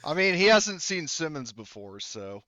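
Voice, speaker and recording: A man's voice: a little high, big pitch swings, moderate pace, pushed and bright, no room sound. A man chatting casually and jokily during a tabletop roleplaying game, through a dull lo-fi microphone. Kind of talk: casual game talk, joking. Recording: dull, dry, lo-fi mic.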